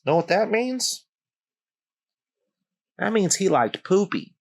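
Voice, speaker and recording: A man's voice: high voice, very animated, slow pace. Man casually recounting a true story. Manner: conversational, wry asides to the viewer. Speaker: channel host.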